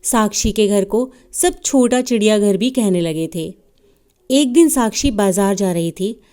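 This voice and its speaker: A woman's voice: mid-range voice, a little slow, warm tone, clear, resonant tone. A woman narrating a scripted children's story as a storyteller.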